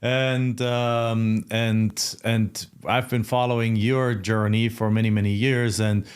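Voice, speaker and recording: A man's voice: lowish voice, flat delivery, slow, smooth. A man hosting an interview show, chatting in a friendly, casual way and restarting his questions as he goes. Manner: friendly, restarts and repeats words when asking questions. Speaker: podcast host.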